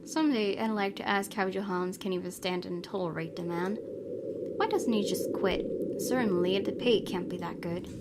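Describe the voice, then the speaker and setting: A woman's voice: mid-range voice, steady tone, moderate pace, heavy background noise. A woman hosting a gaming video, reading visual novel narration aloud with casual asides. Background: game music.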